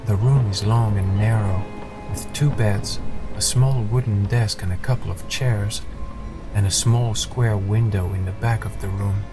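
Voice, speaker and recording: A man reads scripted first-person fantasy narration in a fairly low voice. His storytelling is measured and deliberate. Loud music runs underneath him. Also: steady tone, low-quality recording.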